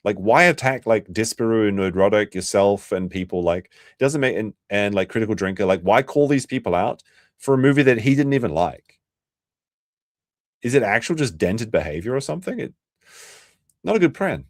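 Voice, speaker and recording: Nz dude streaming, low voice that jumps all over, dry close sound, rambly and crude. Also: male voice, animated, moderate pace, dry recording. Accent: New Zealand. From New Zealand. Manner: rambling, crude, restarts often, talks to chat. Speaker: streamer host. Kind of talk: casual livestream reaction chat.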